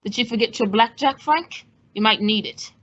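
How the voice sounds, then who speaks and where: A woman's voice: a little high, moderate pace, a bit bright. A woman playing a suave, wisecracking male adventurer-detective in an old-time radio drama, with a wry, composed, teasing manner. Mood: wry, cool. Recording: dull, muffled lo-fi sound.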